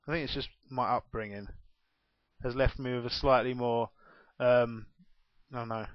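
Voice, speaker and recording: A well-spoken young Englishman in his twenties rambles through a casual home vlog, self-mocking and quick to laugh. His mid-pitched voice comes across dull and muffled. The small room sounds dead dry, with no echo at all. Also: steady tone. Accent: well-spoken southern English. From England. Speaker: gaming YouTuber.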